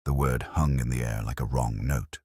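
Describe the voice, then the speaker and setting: Very deep, brooding older detective, played by a man. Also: steady tone, warm tone, breathy edge, no room sound, studio quality, faint music behind. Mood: brooding, reflective. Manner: measured, reflective, literary, grave. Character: brooding older male detective inspector, melancholy, cerebral, wry. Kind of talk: scripted first-person mystery narration, radio drama.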